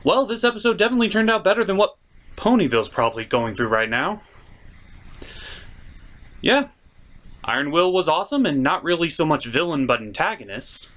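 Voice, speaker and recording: Male review host, very lively swooping intonation, theatrical and sarcastic, scripted comedic narration. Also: fairly high pitch, a little fast, long pauses. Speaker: cartoon reviewer, show host.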